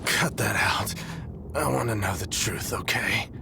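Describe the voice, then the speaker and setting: Low-voiced, wounded, devoted henchman. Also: unhurried, vocal fry, background noise. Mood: probing, strained. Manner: drawling, casual, irreverent. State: wounded, strained, fading. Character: dying masochistic henchman, rough, cocky, devoted young man. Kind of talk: dramatic game cutscene acting. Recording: voice over loud background music.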